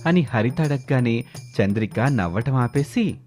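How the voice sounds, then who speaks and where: A man's voice: mid-range voice, animated, unhurried, a little mellow. Male storyteller with music behind. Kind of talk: scripted story narration. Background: background music.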